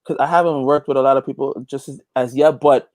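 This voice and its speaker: A man from Guyana giving a casual interview as a guest, informal and halting, repeating phrases as he thinks. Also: medium pitch, steady tone, darkish tone, dry recording, clean studio sound. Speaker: interview guest, gospel reggae artist and youth pastor.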